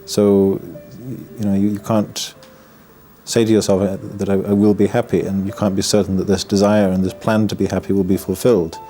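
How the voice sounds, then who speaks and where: A Buddhist monk, a man from the north of England, talks in a low, unhurried voice about his life. He is reflective and halting, restarting words often and slipping in dry humor. Clear music plays behind him. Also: level tone, dark tone. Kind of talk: documentary interview. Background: background music.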